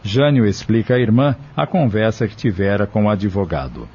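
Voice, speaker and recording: A Brazilian man narrates a scripted audio drama. His voice is low, mellow and husky, on a somewhat dull recording. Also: lively intonation, normal speed.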